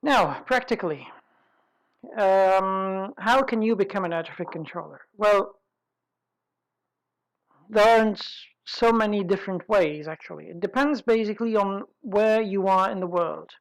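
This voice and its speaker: Female webinar presenter, medium-pitched voice, informal and explanatory, deliberate with pauses and rephrasing. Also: rough recording.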